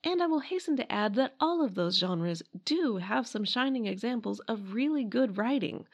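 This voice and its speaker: A woman's voice: a little high, expressive, moderate pace. A woman narrating a scripted history talk in a conversational way, with wry asides. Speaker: podcast host and narrator.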